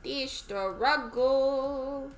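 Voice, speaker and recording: A woman's voice: high voice, very slow, faint music behind. A woman with a Southern US accent, chatting casually to herself as she streams a game. She rambles and is easily amused.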